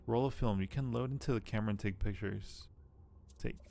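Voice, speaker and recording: A man streaming a game, reading the narration aloud and commenting casually in a low-key way as he thinks aloud. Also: fairly quick, creaky, warm tone, a little hiss or hum. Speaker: live streamer playing a game.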